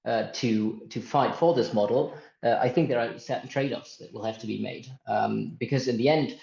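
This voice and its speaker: A man's voice: mid-range voice, normal speed, gravelly, darkish tone. A man in his twenties from Germany speaking as a panelist, thoughtful and hesitant with many restarts. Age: 20s. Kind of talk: panel discussion. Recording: echoey and muffled.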